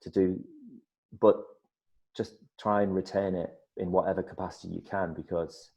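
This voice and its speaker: A middle-aged man, an actor, telling stories in a casual interview, lively and full of restarts. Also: deep voice, normal speed, vocal fry. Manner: animated storyteller, many restarts and repeated words. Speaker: actor guest.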